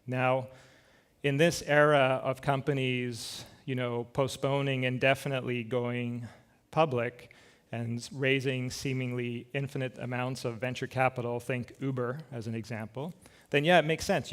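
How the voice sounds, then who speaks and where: A man, giving a casual, self-deprecating keynote at a conference with many filler words. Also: mid-range voice. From Scotland. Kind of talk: conference talk with slides. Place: conference stage. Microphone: stage microphone.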